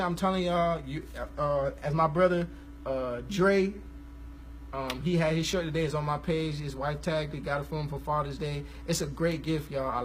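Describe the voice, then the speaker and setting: A man, hosting a casual live stream about gospel music, talking in a relaxed, rambling, slangy way. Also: fairly high pitch, a little hiss or hum. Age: 20s.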